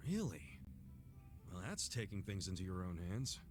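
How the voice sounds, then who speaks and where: A man playing an earnest, soft-hearted street brawler in a video game cutscene, speaking frankly and informally. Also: deep voice, very animated, normal speed. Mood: mildly surprised. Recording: music under the dialogue.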